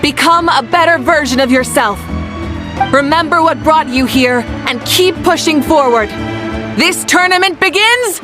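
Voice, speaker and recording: A woman's voice: high voice, expressive, unhurried. An actress voicing a bold, commanding woman who hosts a tournament, speaking in a confident, rousing way. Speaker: tournament host. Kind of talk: video game voice acting. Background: game music.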